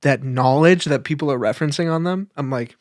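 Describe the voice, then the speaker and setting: Young male podcast co-host, fairly high voice, dry close sound, insistent and playful casual debater. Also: fairly quick, no room sound. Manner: insistent, playful, repeats himself.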